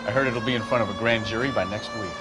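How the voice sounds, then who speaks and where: An actor playing a wry, slangy, hard-boiled police detective partner in a 1940s crime video game. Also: male voice, lowish voice, very fast, loud music behind the voice, natural voice.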